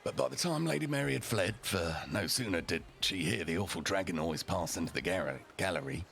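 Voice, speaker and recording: Male, deep and creaky, for dramatic storytelling as a rough, theatrical character; faint music bed. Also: expressive, brisk, fairly bright tone. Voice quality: gravelly. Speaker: storyteller, roleplay streamer in character.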